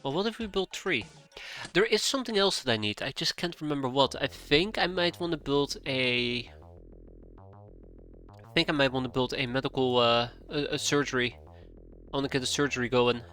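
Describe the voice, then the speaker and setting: A man in his thirties talks through a live strategy game stream, stuffy-nosed with a cold. His voice is bright and forceful, sitting at an ordinary pitch for a man. He thinks aloud and wanders off track, with soft game music under him. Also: normal speed, smooth. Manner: rambling, thinking aloud, easily sidetracked. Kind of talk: live gameplay commentary, chatting with viewers.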